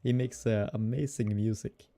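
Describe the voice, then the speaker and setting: A young man with a Swedish accent, streaming casual game development and musing aloud with fillers and soft laughs. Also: on the low side, steady tone, moderate pace. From Sweden. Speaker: game developer streaming his hobby project. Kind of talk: casual live-coding stream chatter.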